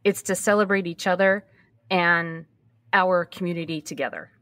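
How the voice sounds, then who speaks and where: A woman's voice: fairly low pitch, fairly even intonation, normal speed, fairly bright tone. A woman in her early fifties speaking candidly and reflectively in a conversational interview, repeating phrases for emphasis. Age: early 50s. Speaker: podcast host being interviewed.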